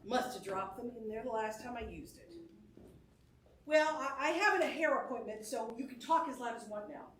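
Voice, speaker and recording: An actress playing a grand, demanding stage star, irritable and complaining, in a musical theatre reading. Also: female voice, on the high side, expressive, moderate pace, fairly bright tone, reverberant, distant mic, slight background noise. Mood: irritated, imperious. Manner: imperious, complaining.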